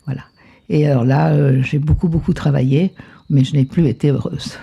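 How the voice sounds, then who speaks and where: Older argentine lady guest, husky breathy voice, mellow and low, takes her time. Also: fairly even intonation, dull audio. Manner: unhurried, reflective storytelling. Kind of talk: relaxed interview conversation.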